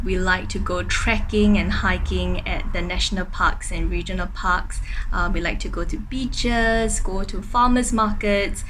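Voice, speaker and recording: A woman's voice: mid-range voice, fairly bright tone. Singaporean woman vlogging with background noise. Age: young adult. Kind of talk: casual vlog talk to camera. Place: indoors in an open-plan apartment living room and kitchen.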